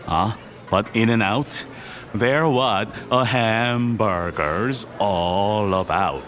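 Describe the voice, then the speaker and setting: A man's voice: on the low side, drawn-out words, a bit bright. An actor playing a middle-aged businessman uncle, jovial yet ruthless in an audio drama. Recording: music behind the voice.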